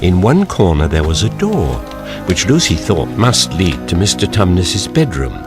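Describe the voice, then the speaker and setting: A man's voice: sits low, huge pitch swings, measured pace, music in the background. A man narrating a children's story from a script, as the narrator of an audio drama.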